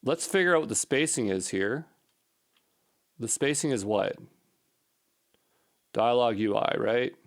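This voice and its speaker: A man's voice: mid-range voice, lively intonation, measured pace, pauses often. Male programmer, mid-range voice, casual think-aloud screencast delivery, informal and self-muttering. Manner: informal, muttering to himself while troubleshooting. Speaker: programmer recording a coding session.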